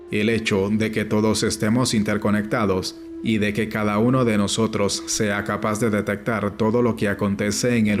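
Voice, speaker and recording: A man reads a nonfiction audiobook in a lowish voice with very little rise and fall. His delivery is formal and measured, and music plays behind him. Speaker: audiobook narrator. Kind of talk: scripted audiobook narration of a nonfiction book.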